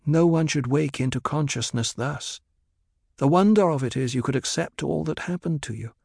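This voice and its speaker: A man's voice: on the high side, normal speed, gravelly, a little mellow, husky, no echo, studio quality, voice pushed up. Priestess mother for audiobook, male narrator: grave, formal, commanding, somewhat raised voice. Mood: concerned.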